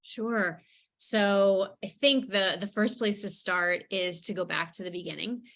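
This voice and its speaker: A woman's voice: mid-range voice, fairly even intonation, a little slow, a bit bright. A woman guest telling her personal story in a reflective way, leaning on key words. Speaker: guest speaker, author.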